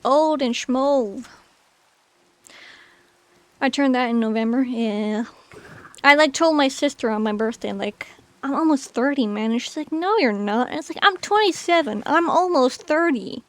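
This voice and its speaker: A chatty woman in her late twenties talks with her stream audience while playing a game. Her voice sits a little high, and she rambles loosely with plenty of fillers. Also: measured pace, no echo. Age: 20s. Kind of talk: casual chat with chat while gaming.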